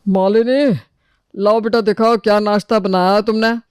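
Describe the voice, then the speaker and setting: A man voicing a hungry, demanding older father-in-law in an animated family story. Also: sits high, moderate pace, clean tone, dry recording, clean studio sound, lightly acted.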